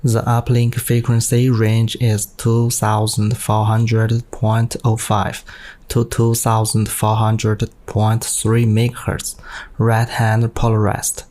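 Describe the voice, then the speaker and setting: A man with a Chinese accent, a native Chinese speaker, presents technical material from a script. His voice is dark and mellow, with very little rise and fall. He takes it slowly and reads in a stiff, careful manner. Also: fairly low pitch, completely flat delivery. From China. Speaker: amateur radio operator giving a technical presentation.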